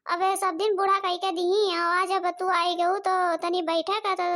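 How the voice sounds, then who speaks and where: A woman's voice: extremely high pitch, level tone, moderate pace, fairly bright tone. A woman voicing a bossy, complaining elderly village mother-in-law in cartoon comedy, with an Awadhi accent. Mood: scolding. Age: older.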